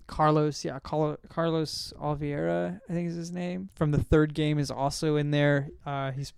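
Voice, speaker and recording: Smooth, higher-voiced man chatting casually. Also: darkish tone, no echo, studio quality. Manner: rambling, self-correcting, hesitant recall. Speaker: podcast host. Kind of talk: casual discussion of films.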